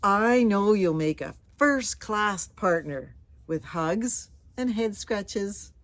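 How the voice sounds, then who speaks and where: A friendly letter carrier from a picture book, voiced by a woman reader. The delivery is warm and emphatic, with slow, deliberate phrasing. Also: medium pitch, animated, no room sound, voice pushed up. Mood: tender invitation. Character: friendly letter carrier seeking a loyal companion. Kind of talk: storybook character voice, letter read aloud.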